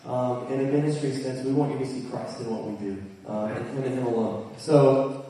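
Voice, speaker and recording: A man leading a ministry team speaks from a stage in a relaxed, chatty way. His voice is dark and mellow, picked up from a distance. It carries a long, booming echo through a large hall. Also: brisk. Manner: relaxed, chatty, with fillers and light jokes. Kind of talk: casual introduction from a stage. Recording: echoey hall, distant mic.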